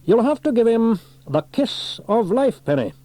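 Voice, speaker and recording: A cheerful, steady firefighter hero, voiced by a male narrator in a storybook. His voice is lifted a little, warm-toned and reassuring, calm under pressure. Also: extremely high pitch, unhurried, no room sound, voice pushed up, heavily acted.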